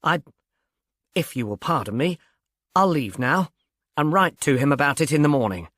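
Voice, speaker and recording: Dignified, strained male lawyer for an audiobook, voiced by the narrator, halting and formal, dry recording. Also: on the high side, expressive, fairly bright tone, no echo. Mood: strained, courteous. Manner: stiff, halting, courteous.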